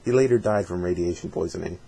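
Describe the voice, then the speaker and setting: A man with a slightly husky voice doing a dramatic reading, with casual asides in between. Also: deep voice, fast, mellow. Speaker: reader of a fan dramatic reading.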